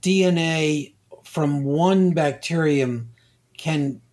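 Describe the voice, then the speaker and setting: An older man, a scientist guest, explaining slowly and deliberately with many hesitations. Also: fairly high pitch, darkish tone. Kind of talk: conversational science interview.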